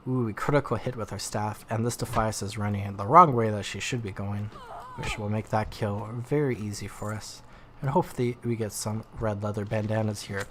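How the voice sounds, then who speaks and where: A man's voice: on the low side, a little hiss or hum. Nasal man rambling over gameplay. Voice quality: nasal from allergies. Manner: rambling, lots of "like" fillers. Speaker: gaming YouTuber. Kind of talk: casual let's play commentary.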